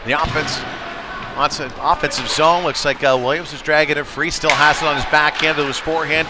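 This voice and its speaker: A man with a slightly high voice gives live hockey play-by-play. He talks conversationally, dropping in casual asides as he follows the play. Clear rink noise runs behind him on the broadcast. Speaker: play-by-play sports commentator. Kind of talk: live youth ice hockey commentary. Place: indoor ice rink.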